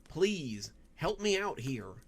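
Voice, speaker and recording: Young male hero, read by a male narrator, stubborn and pleading, voice lifted high, audiobook horror. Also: very animated, slow, slightly rough, heavily acted. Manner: pleading, insistent. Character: urgent, stubborn young man, the story's hero.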